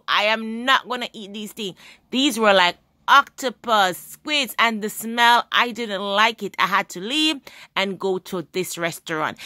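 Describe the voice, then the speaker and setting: A woman's voice: medium pitch, sharp tone, dry recording, studio quality. A woman narrating her travel vlog, talking straight to her viewers with enthusiasm. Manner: enthusiastic, addresses viewers directly. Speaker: travel vlogger. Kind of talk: travel vlog narration over phone clips.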